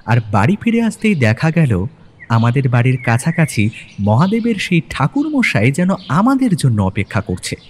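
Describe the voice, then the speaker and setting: A man's voice: medium pitch, wildly swooping pitch, moderate pace, a little hiss or hum. A man narrating a scripted detective story in a dramatic storytelling style.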